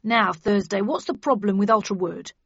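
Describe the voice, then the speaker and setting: A woman voicing a stern but kindly male official in an audiobook, with a brisk, commanding manner. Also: medium pitch, creaky, a bit bright, husky, no room sound, muffled recording. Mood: pleased, kindly. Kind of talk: audiobook character acting.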